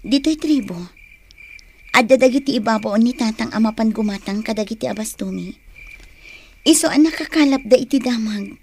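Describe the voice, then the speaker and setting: A woman's voice: fairly high pitch, normal speed, long pauses, a little mellow, slight background noise, natural voice. A woman with an Ilocano accent playing a caring, earnest young tribeswoman in a radio drama.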